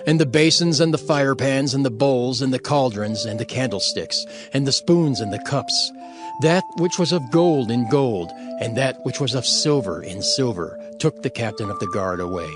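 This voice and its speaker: A man narrating scripture from a script in a measured, solemn manner, phrase by phrase. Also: medium pitch, animated, over music.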